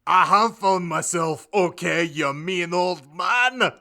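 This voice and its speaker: A man acts out an earnest, hot-tempered groundskeeper gone goth in a comic audiobook. The voice is raised high and loud, dragging its words with overwrought drama. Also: full character voice. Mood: loud, indignant. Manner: overwrought, drawn-out declaiming.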